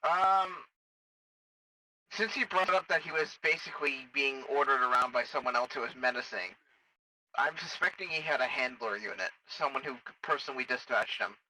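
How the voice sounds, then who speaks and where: A man's voice: mid-range voice, normal speed, pushed and bright, no room sound, distant mic, low-quality recording. A man playing a worried, cautious technician in a sci-fi game role-play, explaining things hesitantly. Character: worried, cautious technician analysing cybernetic implants.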